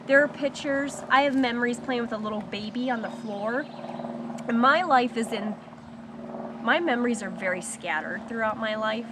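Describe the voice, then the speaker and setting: A woman sharing her own story of surviving abuse in a support circle, talking in a halting, reflective way with pauses and restarts, and slowing and softening on painful memories. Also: on the high side, noisy background. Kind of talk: personal testimony in a support circle.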